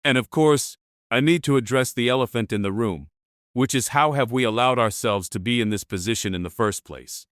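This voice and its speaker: A man playing a solemn government spokesman giving a formal, measured, rhetorical address to the nation in a disaster drama. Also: medium pitch, fairly bright tone, no echo, clean studio sound, lightly acted. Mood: grave, explanatory. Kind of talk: formal dramatic televised speech.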